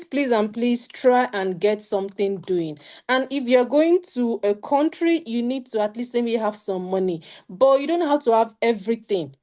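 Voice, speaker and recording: A woman's voice: on the high side, warm tone. A young woman with a Nigerian accent sharing her study-abroad experience in an earnest, emphatic way on a video call. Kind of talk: casual panel talk.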